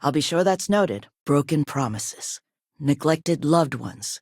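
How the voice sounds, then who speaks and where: A woman voicing a composed, businesslike company owner in a video game, with a polite, measured and formal manner. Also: low voice, animated, a little mellow, no room sound, studio quality, heavily acted. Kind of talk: video game dialogue acting.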